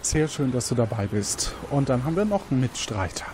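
A man's voice: mid-range voice, very animated, brisk, noisy background. A man hosting an audio quiz show as a training officer, presenting in a semi-scripted, role-playing style.

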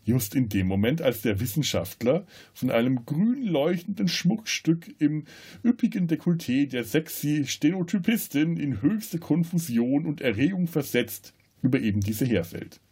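A man's voice: fairly high pitch, big pitch swings, normal speed, darkish tone, slightly rough. A man talks about a film as a podcast host in a casual way, often searching for words. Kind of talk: casual film discussion with scene retelling.

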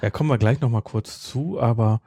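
Casual podcast host, male, relaxed mellow delivery that rambles and self-corrects, very dry recording. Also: mid-range voice, a little fast, creaky, husky, dry recording.

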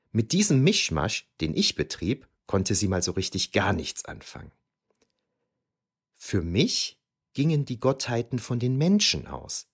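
Man playing a deep-voiced, reflective historian narrator. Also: breathy edge, exaggerated voice. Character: reflective male ancient historian recalling his youth. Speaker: narrator of an audio drama. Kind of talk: scripted first-person narration.

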